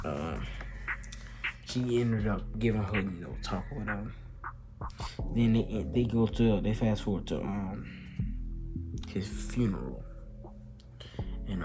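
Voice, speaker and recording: A young man with an American accent giving a casual, slangy movie recap with emphatic, excited retelling. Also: on the low side. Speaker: movie reviewer.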